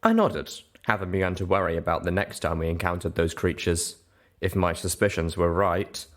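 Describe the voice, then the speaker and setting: Low-voiced male story narrator. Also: expressive, normal speed. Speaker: audiobook narrator. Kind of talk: scripted first-person story narration.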